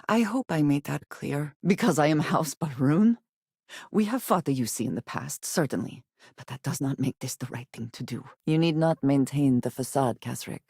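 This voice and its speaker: An actress playing a guarded, earnest woman space adventurer in a video game, speaking in measured, formal phrases with few contractions and a dry wit. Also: low voice, a little mellow, slightly rough, no room sound, full character voice. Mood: earnest, troubled. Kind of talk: video game character acting.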